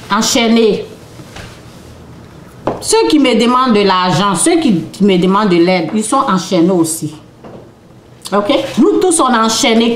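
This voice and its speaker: A woman's voice: on the high side, slight background noise. A woman talks through a spiritual lesson in an informal, unscripted way. She often checks that her listeners follow and repeats her phrases as she goes. Her voice rings around a roomy space with noticeable echo. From Côte d'Ivoire. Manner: restarts and repeats phrases, speaking off the cuff. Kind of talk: informal spiritual teaching to an audience.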